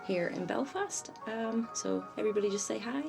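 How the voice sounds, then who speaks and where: Young female podcast host, low gravelly voice, casual questioning. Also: normal speed, music in the background. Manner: casual, filler-heavy questions. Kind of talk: roundtable moderating.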